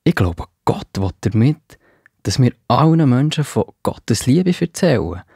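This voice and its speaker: A man's voice: medium pitch, very animated, a little slow, creaky, mellow, breathy edge, no room sound, clean studio sound, full character voice. A man with a Swiss German accent playing an earnest disciple preaching in a children's Bible audio drama. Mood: earnest, thoughtful. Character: Peter, earnest male disciple of Jesus preaching.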